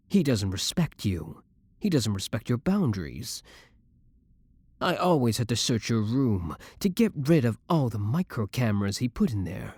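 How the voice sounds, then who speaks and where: Animated, jealous young boyfriend played by a man. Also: medium pitch, husky, dry recording. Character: jealous, menacing young boyfriend, obsessively devoted, bickering with rival. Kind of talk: scripted romantic audio roleplay.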